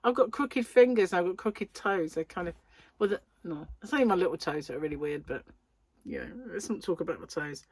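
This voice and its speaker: A middle-aged British woman with a wheezy breath, chatting casually to camera as host of a crochet channel, self-deprecating and quick to laugh. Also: lowish voice, expressive, slightly rough, dry recording. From United Kingdom.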